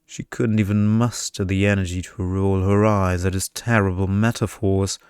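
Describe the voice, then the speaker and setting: A man reading a scripted bedtime story in ASMR style, with a hushed, seductive delivery into a close mic. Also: low-pitched, flat delivery, moderate pace, smooth, no echo. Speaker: story narrator.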